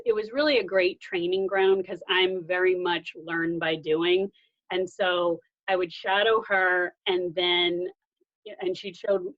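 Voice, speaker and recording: Woman chatting, dull lo-fi recording. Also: medium pitch. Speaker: guest sharing workplace experience. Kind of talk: conversational discussion. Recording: dull lo-fi sound.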